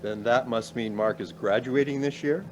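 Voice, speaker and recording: An actor plays a middle-aged male professor with a mid-pitched voice, courteous and gentle. It is radio drama, with faint vehicle ambience behind him. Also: moderate pace, muffled recording, a little hiss or hum, natural voice. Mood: friendly, curious. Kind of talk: radio play acting.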